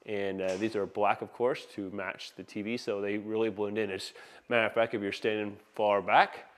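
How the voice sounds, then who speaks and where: A man with a fairly low, smooth voice walks viewers through an audio install. He speaks informally and with enthusiasm, like an installer proud to sell his work. It is recorded on a handheld phone inside an open living room. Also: level tone, normal speed. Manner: informal, enthusiastic salesman-like patter. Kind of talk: casual video walkthrough. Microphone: handheld phone camera.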